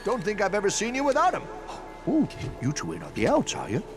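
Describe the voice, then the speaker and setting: An actor playing an eccentric, cheerful old plumber in a video game, rambling along in folksy colloquial talk. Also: male voice, sits high, huge pitch swings, a little fast, over music. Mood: cheerful, puzzled. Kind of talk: video game cutscene acting.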